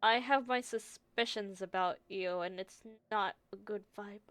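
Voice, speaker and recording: A woman livestreaming a game, reacting casually in an informal, slangy, halting way. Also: mid-range voice, a bit bright, dry recording. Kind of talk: casual reactions and gaming commentary. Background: faint game and background music.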